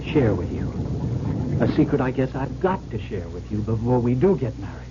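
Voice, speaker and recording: A man's voice: mid-range voice, very animated, brisk, close to an everyday voice. An actor playing an easygoing, wisecracking man in an old radio drama, with a wry, confiding and theatrical delivery. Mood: insistent. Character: easygoing, wisecracking wealthy man haunted by a lucky charm. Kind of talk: 1970s radio drama acting, confiding narration and banter. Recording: dull old radio broadcast with music.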